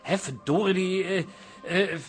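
A man's voice: high-pitched, a little slow, faint music behind. An actor playing an impatient, grumbling café regular in a radio drama, repeating himself as he gets agitated. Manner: stammering when agitated, grumpy.

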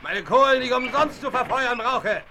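A folksy, grumbling engine driver. The voice is extremely high and piercing, calling out in a western radio play with faint record noise. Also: male voice, lively intonation, normal speed, bright, forceful tone, full character voice. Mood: grumbling, eager. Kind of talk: German radio play acting. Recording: faintly noisy 1970s vinyl rip.

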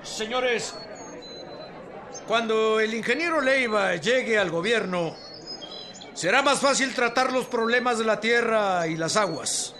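High-voiced, earnest Mexican village campaigner. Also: male voice, unhurried, bright, forceful tone, some chatter behind. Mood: persuasive. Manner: persuasive, earnest. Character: Pablo Torres Burgos, earnest rural reform campaigner. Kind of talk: radio drama acting.

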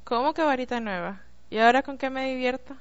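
A woman's voice: sits high, a little fast, clean tone, close to an everyday voice. A woman playing a teasing, mischievous girl prefect in an amateur radio play, sassy and playful. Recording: dull lo-fi home recording.

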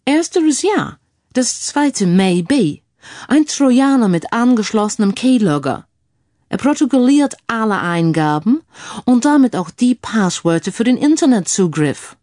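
A man's voice: extremely high pitch, big pitch swings, a little slow, darkish tone, dry recording, studio quality, voice pushed up, full character voice. A woman voicing a confident, analytical male computer sleuth who drops English phrases into his explanations in an audiobook. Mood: smug, explaining. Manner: peppers speech with English phrases.

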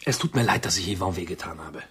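A man's voice: sits low, fast talker, fairly bright tone, slightly rough, full character voice. An actor playing an irritable, argumentative skeptic in a radio play, a man with a sardonic, challenging manner. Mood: dejected. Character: Mark, male friend, irritable, mocking, argumentative skeptic. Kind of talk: radio play acting, dialogue.

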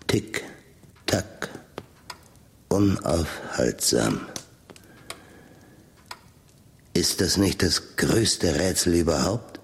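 Very deep, slow, brooding older man. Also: heavily acted. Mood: brooding, solemn. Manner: slow, ponderous, philosophical, dropping into whispers. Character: rich, brooding older man musing on time and life's end. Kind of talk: radio drama monologue.

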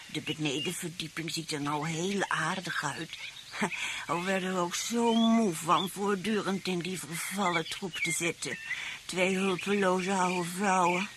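An actress playing a sly elderly widowed aunt in a Dutch radio play, genteel and drily mocking. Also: female voice, lowish voice, fairly even intonation, a little slow, natural voice. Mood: sweetly sly. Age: older. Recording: noisy radio play recording.

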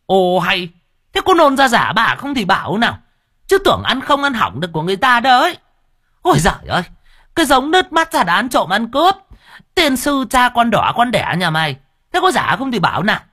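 A man voicing a shrill, furious market woman who scolds and curses rapidly. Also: wildly swooping pitch, sharp tone, heavily acted. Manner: shrieking, scolding, rapid cursing.

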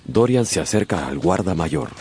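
A man narrating a radio novel in a dramatic, suspenseful style with measured phrasing. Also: sits low, expressive, vocal fry, darkish tone. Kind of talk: dramatic scripted audiobook narration.

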